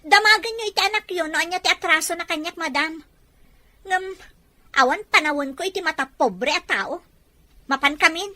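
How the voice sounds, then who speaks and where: A woman acts a wronged young street vendor in a radio drama. Her high voice is forceful and close, in a dry recording, as she stands her ground indignantly. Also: moderate pace, dry recording. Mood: defiant. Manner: firm, indignant, respectful address. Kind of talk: Filipino Ilocano radio-style drama acting.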